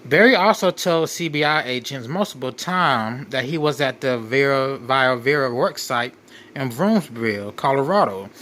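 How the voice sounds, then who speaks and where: A man hosting a crime commentary, reading out case details in a halting way and often repeating his phrases. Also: mid-range voice, expressive, a bit bright. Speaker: show host.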